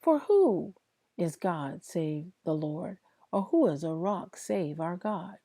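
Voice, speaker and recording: A woman reading Bible passages aloud in a devotional style, with deliberate, emphatic phrasing. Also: fairly low pitch, expressive, mellow, dry recording, studio quality. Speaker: host reading scripture aloud. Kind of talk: slow devotional Bible reading aloud, with informal asides to listeners.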